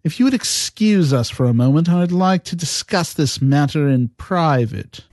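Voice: mid-range voice, animated, moderate pace, a little mellow, no echo, natural voice. A performer acting a jaded, impatient villainous interviewer with a curt, dry, formal manner in an amateur audio drama. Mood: measured.

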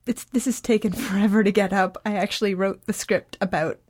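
A woman's voice: mid-range voice, fairly even intonation, brisk, a little mellow, slightly rough. A teenage girl chatting casually, rambling and interrupting herself with dry humor. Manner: rambling, self-interrupting, dryly joking. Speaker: young podcast creator and guest. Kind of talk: casual chat.

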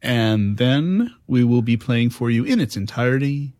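A man hosting a casual podcast chat in a halting, conversational way. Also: medium pitch, lively intonation, darkish tone, dry recording, studio quality. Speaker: podcast host and interviewer.

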